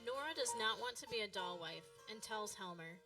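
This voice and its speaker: A woman's voice: medium pitch, expressive, normal speed, far from the mic, music in the background, lightly acted. A woman playing a studious, self-assured schoolgirl, reciting precisely and matter-of-factly in a radio play. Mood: earnest reciting. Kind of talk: radio play acting, recited book report.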